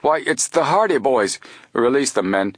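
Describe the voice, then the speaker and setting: Authoritative male Coast Guard officer, voiced by a man narrator, brisk, adventure storybook. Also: medium pitch, sing-song, slightly rough, no room sound, clean studio sound, voice pushed up. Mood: commanding.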